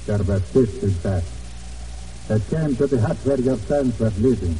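An actor in an old radio drama playing a loyal, grave island rancher with halting, deliberate, formal phrasing. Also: male voice, mid-range voice, dark tone, natural voice. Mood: sorrowful. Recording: muffled old radio, distant, faint noise.

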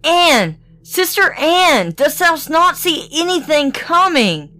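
A woman's voice: expressive, slow pace, a bit bright, dry recording, full character voice. A woman voicing a frightened young wife who pleads for help. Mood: desperate. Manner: pleading, high and breathless.

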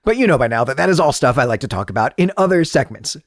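Male podcast host, mid-pitched, very lively intonation, conversational explainer delivery, dry close sound. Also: fast, no room sound. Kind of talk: semi-scripted book discussion podcast.

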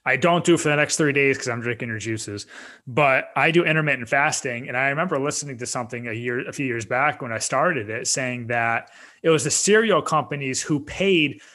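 A man's voice: medium pitch, a little fast, pushed and bright. A man hosting a podcast, chatty and agreeable, often chiming in to back up his guest. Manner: agreeable, chatty, frequent backchannels. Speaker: podcast host. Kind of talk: casual podcast chat.

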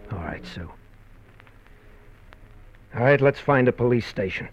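An actor playing an anxious, cornered husband with a shady past in a 1940s radio drama, earnest and urgent, on a muffled old recording. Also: male voice, mid-range voice, expressive, unhurried, over loud music, lightly acted. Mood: grave, tender.

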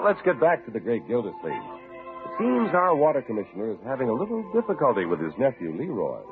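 A man working as a radio announcer, with a polished, salesmanlike 1940s delivery. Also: medium pitch. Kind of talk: 1940s radio ad and story narration. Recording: dull, lo-fi old radio recording.

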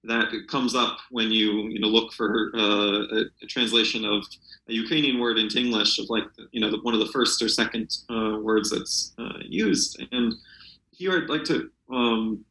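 A man speaking as a literary translator in an unscripted interview, halting and often searching for words. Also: on the low side, fairly even intonation, sharp tone, roomy sound, rough recording.

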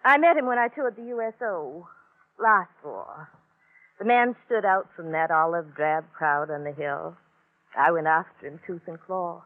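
A woman's voice: on the low side, a little slow, natural voice. Actress playing jaded dramatic woman, languid wry delivery, vintage radio acting, narrow tinny sound. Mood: wistful, bored. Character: world-weary, theatrical woman, languid and bitterly romantic.

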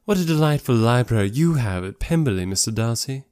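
A man's voice: medium pitch, animated, fairly quick, a little mellow, smooth, dry recording, clean studio sound, lightly acted. A man voicing a haughty, catty young society lady in an audiobook, with an affected, snobbish and emphatic delivery. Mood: gushing. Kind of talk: classic novel audiobook character voice.

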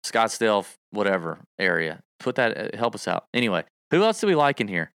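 A man co-hosting a casual golf betting livestream, talking in an animated, emphatic way and piling on stats. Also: lowish voice, fairly quick, smooth, no room sound, clean studio sound.

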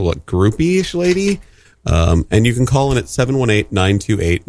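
A man's voice: fairly low pitch, darkish tone, no room sound. A man hosting a comedy storytelling show in a radio studio, talking in a dry, skeptical, needling way. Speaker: host. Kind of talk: improvised comedy radio talk.

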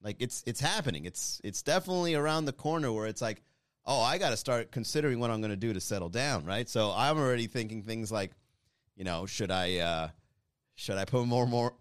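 Man, 30s, comedian chatting to his audience, smooth dry low voice. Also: lively intonation, a little fast, no room sound. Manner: rambling, self-deprecating, chatty. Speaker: comedian talking to viewers. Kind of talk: casual monologue to an online audience.

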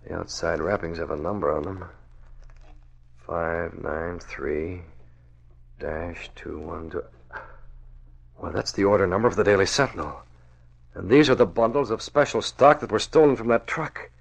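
An actor playing a resolute, calculating masked crimefighter in a 1940s radio drama, speaking in a measured, self-assured way. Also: male voice, deep voice, vocal fry. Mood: musing. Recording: dull old radio recording.

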